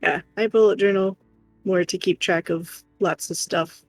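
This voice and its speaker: A woman's voice: lowish voice, fairly even intonation, measured pace, soft background music. A woman co-hosting a crafts livestream, chatting casually and playfully with her viewers. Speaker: co-streamer doing crafts.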